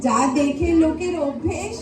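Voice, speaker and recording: Woman acting very high-pitched goddess mother, echoey hall. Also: expressive, moderate pace, crowd noise. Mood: bossy, scolding. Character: goddess mother of four, coaxing, bossy wife. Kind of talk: amateur Bengali comic stage play. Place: stage in a large hall. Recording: echoey stage hall, distant mic, music, audience.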